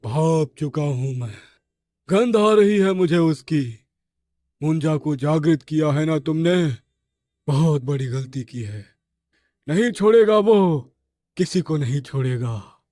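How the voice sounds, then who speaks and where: A man's voice: a little high, expressive, darkish tone, no echo, studio quality, pitched above the performer's own voice. Man voicing a grave, ominous village tantrik. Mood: ominous warning. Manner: slow, foreboding, dramatic pronouncements.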